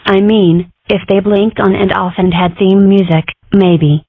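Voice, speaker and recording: A woman's voice: medium pitch, fairly even intonation, measured pace, slightly rough, no echo. Brash little cartoon girl voiced by a woman, tinny audio. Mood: whimsical, opinionated. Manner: declarative, grandiose, rambling. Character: brash, self-important little cartoon girl, whimsical schemer. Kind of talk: comic strip dub. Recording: muffled, phone-like narrow audio.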